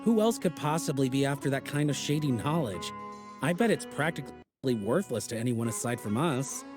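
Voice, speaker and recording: An actor playing a confident young student in a video game, explaining things in an assured, calm way. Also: male voice, medium pitch, normal speed, music in the background, close to an everyday voice. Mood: reassuring.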